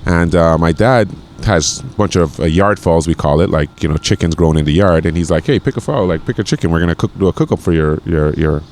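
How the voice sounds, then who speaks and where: A man in his forties from Grenada talks in a very deep, low voice. He rambles in a loose, casual way and often stumbles over repeated words and fillers. There is a faint hiss of noise behind him. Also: fairly quick, hardly any pauses, a little mellow. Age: 40s. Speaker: podcast guest, chef. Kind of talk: casual conversation.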